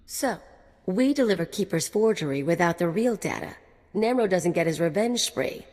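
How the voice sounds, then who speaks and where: A wry, teasing rogue. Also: female voice, lowish voice, expressive, moderate pace, darkish tone. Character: teasing, mercenary young woman. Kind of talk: video game cut-scene acting. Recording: long reverb.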